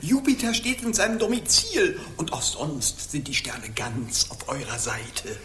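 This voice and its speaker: An actress playing a mystical court astrologer in a German radio play, deliberate, flattering and theatrical. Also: female voice, extremely low pitch, a little hiss or hum. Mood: soothing, mystical.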